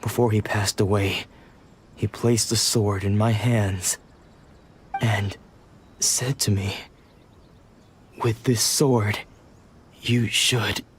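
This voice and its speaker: A man's voice: lowish voice, steady tone, slow pace, pauses often, a little hiss or hum. An actor playing a weary man who lost his memories, speaking in a brooding, melancholic way. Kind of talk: video game voice acting. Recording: game score behind.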